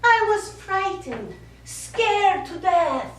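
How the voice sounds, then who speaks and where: An actress on stage playing a bitter, wounded older Italian woman, speaking in a deliberate, accusing manner. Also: very high-pitched, sing-song, heavily acted. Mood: grave, bitter. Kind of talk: stage play acting. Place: small theatre studio, recorded from afar. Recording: echoey, distant stage recording with hiss.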